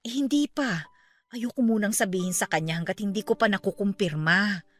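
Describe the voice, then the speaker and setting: A woman's voice: medium pitch, fairly quick, natural voice. A woman playing an anxious, hesitant middle-aged aunt in an emotive radio drama. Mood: worried. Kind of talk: Tagalog radio drama acting.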